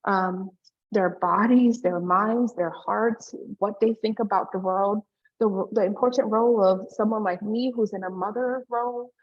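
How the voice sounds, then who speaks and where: A woman's voice: medium pitch, steady tone, unhurried, muffled recording. A woman in her forties from Hawaii giving a personal conference talk over earbuds on a video call, with frequent fillers. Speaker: guest speaker.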